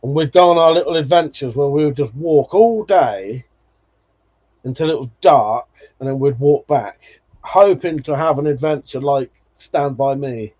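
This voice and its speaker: A man's voice: medium pitch, normal speed, darkish tone. A man in his forties hosting a live stream, chatting casually and nostalgically, swearing freely and laughing often as he reads viewer comments. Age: 40s. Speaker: livestream host. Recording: dull lo-fi stream audio.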